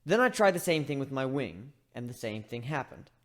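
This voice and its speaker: A chatty male griffin voiced by a man tells his own fantasy story. His delivery is wry and conversational, wandering off into asides, and his pitch moves around in an animated way. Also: normal speed, natural voice. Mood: musing, rambling. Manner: conversational, rambling, self-interrupting. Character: chatty male griffin, wry, rambling first-person narrator. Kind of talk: dramatic reading of fan fiction, storytelling.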